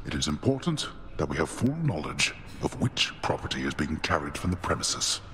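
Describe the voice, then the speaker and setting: A man's voice: very deep voice, big pitch swings, moderate pace, a little hiss or hum, heavily acted. A man voicing a stern, imperious father who rules as god of the dead in a video game, speaking with grave, formal scorn. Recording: loud music under the voice.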